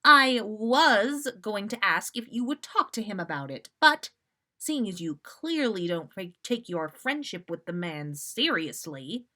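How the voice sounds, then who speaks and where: A woman's voice: mid-range voice, very animated, a little slow, sharp tone. A woman voicing a stern, no-nonsense storybook mother with an exasperated, firm, matter-of-fact manner. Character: stern, no-nonsense, scolding mother of troublesome twins.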